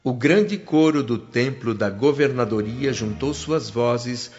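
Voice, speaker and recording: A man's voice: mid-range voice, takes it slowly, a little mellow, natural voice. Man acting as reverent storyteller, slightly muffled recording. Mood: reverent, awed. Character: male spirit narrator recounting life in the colony, reverent, awed. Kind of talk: scripted radio drama narration. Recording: dull, lo-fi sound with music.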